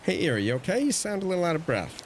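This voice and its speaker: A man's voice: high-pitched, sing-song, rapid-fire, slight background noise. An actor playing a protective middle-aged uncle, a former navy man, in an audio drama, earnest and pressing. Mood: concerned, wry.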